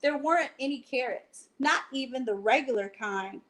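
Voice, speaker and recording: A woman hosting a bedtime storytime, addressing children directly and reading a picture book aloud with deliberate phrasing. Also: high voice, a bit bright. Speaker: library storytime host and reader. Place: at home, indoors.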